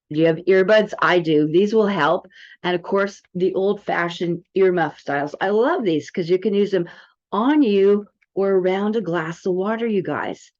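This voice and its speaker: A woman's voice: on the low side, a little mellow. A woman giving a casual product demo, chatty and enthusiastic as she speaks directly to viewers. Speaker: presenter demonstrating a health product. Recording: dull, lo-fi sound.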